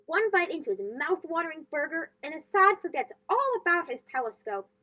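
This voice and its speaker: A woman reading a children's storybook aloud as host, expressive and phrased for young listeners. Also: very high-pitched, fairly quick, highs cut off.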